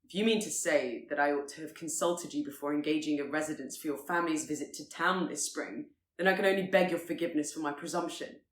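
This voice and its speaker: Woman doing a stiff proper gentleman for a romance audiobook, lowish voice, very restrained, roomy echo. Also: fast talker, hardly any pauses, close to an everyday voice. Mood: stern, clipped. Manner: formal, restrained. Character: proud, stiff, reserved gentleman, earnestly courting his betrothed. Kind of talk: dramatised audiobook reading of period romance.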